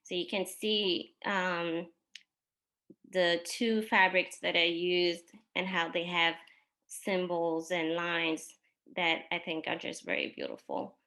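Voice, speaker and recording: Slow, bright-voiced woman, French her first language. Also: on the low side, little pitch movement. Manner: unhurried, pausing often with ums. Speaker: exhibiting artist. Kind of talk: presenting her artworks in a talk.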